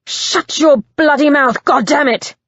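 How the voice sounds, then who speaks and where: A woman voicing an exasperated grown man who shouts angrily at his attacker in a storybook reading. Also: high-pitched, unhurried, no echo, lo-fi sound, voice pushed up. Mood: furious. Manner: angry, shouting.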